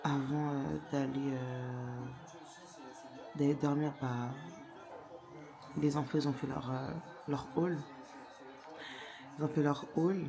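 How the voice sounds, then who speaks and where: A young woman chatting casually in a vlog, with a stammer that makes her repeat and restart words in a halting way. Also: very deep voice, fairly even intonation, gravelly, over music. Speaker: lifestyle vlogger, mother of young children. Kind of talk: casual chat to camera.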